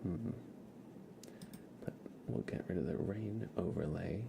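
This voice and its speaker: A man hosting a casual ASMR livestream, speaking in a soft, hushed, whispery murmur close to the microphone. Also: deep voice, slow, creaky, background noise.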